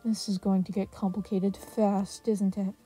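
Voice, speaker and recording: A woman's voice: mid-range voice, level tone, measured pace, dark tone, breathy edge, faint music behind. A woman voicing a weary, blunt male teacher in an audio story, with a dry, exasperated manner.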